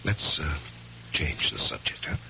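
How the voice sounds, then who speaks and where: An earnest young lawyer with a tense, sharp edge and swooping low pitch. He speaks politely but dramatically, as if haunted. The old radio recording is dull and faintly noisy. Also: big pitch swings, a little slow, gravelly, heavily acted. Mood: devoted, uneasy. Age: young adult. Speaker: lead actor and first-person narrator.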